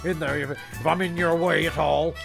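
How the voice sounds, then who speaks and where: A man's voice: fairly high pitch, fairly even intonation, moderate pace, gravelly, over music. A man narrating an amateur comedy audio drama, bickering sarcastically with a cast member.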